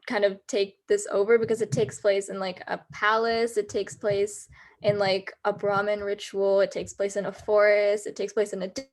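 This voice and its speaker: A woman's voice: mid-range voice, little pitch movement, normal speed. A woman in her late teens with an American accent, a student playwright talking casually and enthusiastically about her script. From United States. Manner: enthusiastic, explanatory, with fillers like "like". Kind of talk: casual discussion on a video call.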